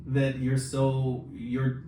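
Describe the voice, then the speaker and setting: A man's voice: medium pitch, monotone, drawn-out words. A man co-hosting a casual podcast, talking in an informal, joking, bantering way. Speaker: podcast co-host. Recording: roomy, distant mic, clearly noisy.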